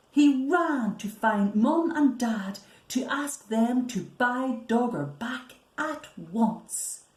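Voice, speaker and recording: A woman reading a picture book to young children as a storyteller, deliberate and expressive, often hushed for effect. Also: on the high side. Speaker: library storyteller. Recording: roomy, echoing sound.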